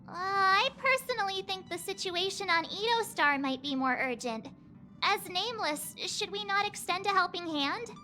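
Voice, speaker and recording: A woman's voice: extremely high pitch, expressive, normal speed, bright, forceful tone, soft background music, full character voice. A woman playing an earnest, youthful teenage girl adventurer in a video game. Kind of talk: scripted game dialogue.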